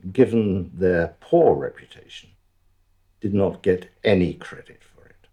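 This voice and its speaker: A man plays a wry storyteller narrating a radio drama. His voice is low and husky, and he takes his time. The intonation rises and falls widely while the asides stay deadpan. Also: exaggerated voice. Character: wry male storyteller looking back on events.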